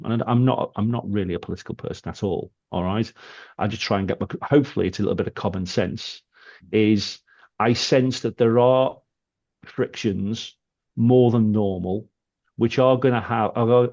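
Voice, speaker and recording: British male co-host, thoughtful and halting, muffled remote line. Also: on the low side, darkish tone. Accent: British English. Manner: thoughtful, halting, restarts often. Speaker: podcast co-host. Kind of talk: casual podcast chat.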